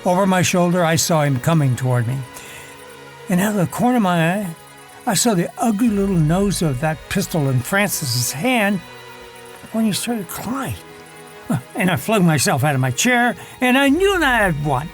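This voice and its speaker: A man acting a scheming, vengeful wheelchair user who narrates his side of a radio drama in a measured, brooding way. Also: high-pitched, big pitch swings, soft background music. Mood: tense, triumphant.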